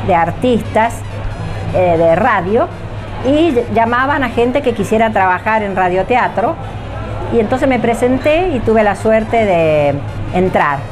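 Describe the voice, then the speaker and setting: An older Argentine woman speaks very slowly at a medium pitch, reminiscing in an interview. She is hesitant and pauses to find her words, with music clearly playing behind her. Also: expressive. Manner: hesitant, with long searching pauses. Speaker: former radio drama actress being interviewed.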